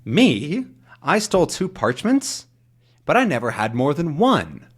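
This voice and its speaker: Young captive hero read by a man: startled, questioning storybook voice, lively swooping pitch. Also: takes it slowly, slightly rough, heavily acted. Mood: indignant. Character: bewildered young male adventurer held prisoner.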